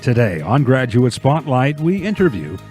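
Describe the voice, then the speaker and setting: A man of middling pitch hosts the program and leads the interview. He asks in a measured, politely probing way. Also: big pitch swings, a little mellow, faint music behind. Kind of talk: interview hosting.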